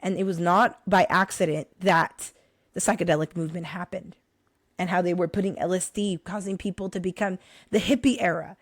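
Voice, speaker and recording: A young woman vlogger, hoarse from recent illness, talking casually and animatedly to her viewers about books. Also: mid-range voice, no room sound, studio quality. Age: young adult. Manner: animated, addresses viewers as guys, many restarts. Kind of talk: casual direct-to-camera talk.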